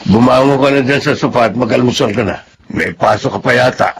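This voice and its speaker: An actor playing a gentle older father who speaks in a fatherly, fond way. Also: mid-range voice, brisk, noisy background, lightly acted. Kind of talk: Filipino radio drama acting.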